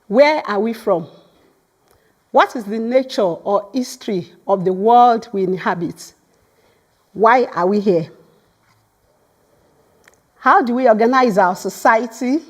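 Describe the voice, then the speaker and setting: A woman's voice: fairly high pitch, animated, slow, pauses often. Earnest woman giving an academic lecture. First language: Yoruba. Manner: earnest, repeats words when starting a phrase. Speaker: guest lecturer. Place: lecture hall.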